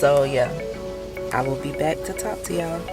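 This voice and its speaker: A woman's voice: low voice, moderate pace, over music. A woman chatting casually to her viewers, her voice nasal and stuffy from a sinus infection. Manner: chatty, addresses viewers as y'all. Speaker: beauty vlogger. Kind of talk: casual vlog chat. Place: car, then home.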